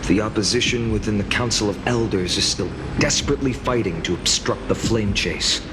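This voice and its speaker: An actor playing a proud, righteous warrior lord in a video game, speaking with stern intensity. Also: male voice, fairly low pitch, brisk, very noisy, lightly acted. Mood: grim.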